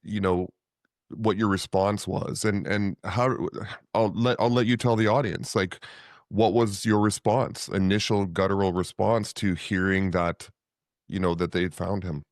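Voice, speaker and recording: A man hosting a podcast interview, speaking gently and haltingly with frequent restarts. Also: fairly low pitch, steady tone, dry recording, studio quality. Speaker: podcast host. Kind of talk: interview conversation.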